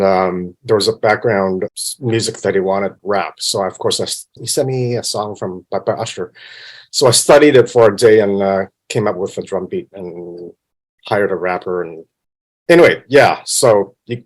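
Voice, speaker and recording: A man's voice: lowish voice, normal speed. A man from Canada, a guest on a podcast, answering casually with frequent laughs and restarts. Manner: relaxed, laughs often, many restarts. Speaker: film composer, guest. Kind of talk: casual interview.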